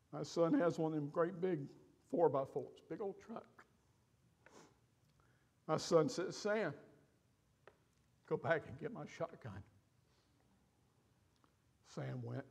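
An older man preaching from a church stage, telling a personal story with pauses and feeling. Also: on the high side, gravelly, darkish tone. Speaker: pastor. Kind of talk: sermon storytelling.

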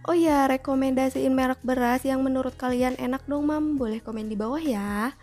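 A woman's voice: high voice, steady tone, moderate pace, darkish tone. A young woman vlogger chatting through a grocery shopping trip, often asking viewers to comment below.

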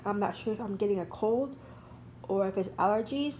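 A woman's voice: medium pitch, a little fast, warm tone, rough recording. Stuffed-up woman rambling about her shopping, lots of ums, some noise in the background. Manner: chatty, rambling, with many ums. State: congested, stuffed-up nose. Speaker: vlogger. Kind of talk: casual haul video. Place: home, at a table.

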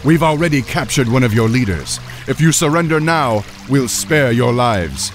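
An actor playing a stern human army captain in a fantasy game, formal and declamatory. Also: male voice, mid-range voice, animated, normal speed, over music, lightly acted. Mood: threatening.

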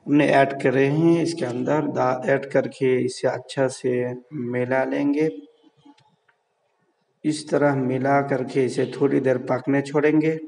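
A man's voice: mid-range voice, level tone, gravelly, a little mellow, long reverb tail, poor audio. A man narrating a home cooking video step by step at the stove, repeating himself and urging viewers to subscribe. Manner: slow, repetitive, keeps urging viewers to subscribe. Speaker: cooking vlogger.

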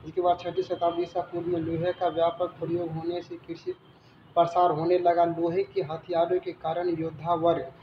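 A man teaching exam questions, reading questions and answers aloud in a halting way with stumbles and repetitions. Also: on the high side, flat delivery. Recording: dull, roomy recording with background noise.

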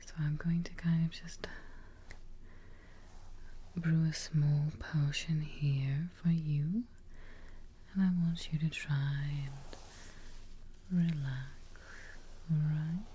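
A young woman whispering breathily and close to the microphone in a gentle, soothing, reassuring ASMR roleplay as a caretaking witch. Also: deep voice, steady tone, drawn-out words, long pauses. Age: young adult. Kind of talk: soft-spoken ASMR roleplay. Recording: faint background noise, soft ambience.

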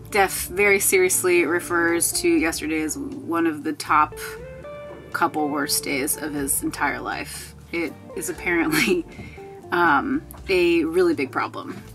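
A woman's voice: lowish voice, fairly bright tone. A woman in her thirties talking casually to a handheld camera in a small wooden boat cabin, wry and laughing at her mishaps. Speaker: sailing vlogger. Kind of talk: casual vlog talk to camera. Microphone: handheld camera at arm's length.

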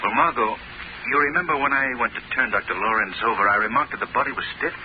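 An actor playing a cool, commanding mystery crime-fighter in an old-time radio drama, measured, authoritative and ominous. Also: male voice, medium pitch, close to an everyday voice. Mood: pensive, resolved. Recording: muffled, noisy old-time radio recording.

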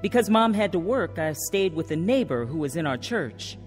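A woman's voice: lowish voice, normal speed, faint music behind. An actress playing an earnest woman telling of her troubled youth in a radio drama. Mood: reflective. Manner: earnest, expressive. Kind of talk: first-person radio drama narration and acting.

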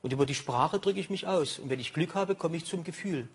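Middle-aged man, emphatic and agitated talk show guest, husky, raised pitch. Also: brisk. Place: TV studio with audience.

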